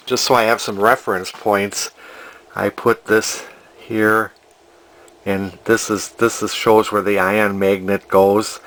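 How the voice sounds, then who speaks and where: Low-voiced older man, halting delivery. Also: a bit bright. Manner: halting, hesitant, rambling. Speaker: vintage TV restorer, video host. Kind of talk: casual spoken workbench vlog. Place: cluttered indoor home workshop.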